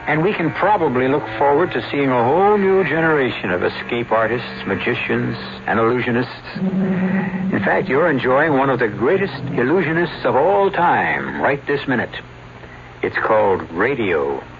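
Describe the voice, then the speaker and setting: A man's voice: mid-range voice, lively intonation. Wry male radio mystery host, muffled recording. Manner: measured, theatrical, wry. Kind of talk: scripted old-time radio narration. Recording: muffled vintage radio, clear hiss.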